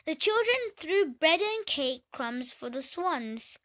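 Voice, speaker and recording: High-pitched, slow-paced woman reading a story. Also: very animated, a bit bright. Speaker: children's story reader. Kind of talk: read-aloud storybook narration.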